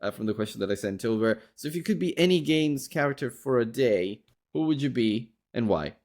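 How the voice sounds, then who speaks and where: A man's voice: medium pitch, expressive, fairly quick, darkish tone. A man hosting an interview podcast in a polite, conversational way, often wordy and hesitant as he talks.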